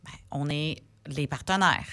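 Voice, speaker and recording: Femme anglophone, français québécois, voix un peu grave, bavarde, hésitations fréquentes. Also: female voice, low voice, unhurried, a bit bright. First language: English. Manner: chatty, many fillers and restarts. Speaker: sponsor representative guest. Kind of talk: casual podcast conversation.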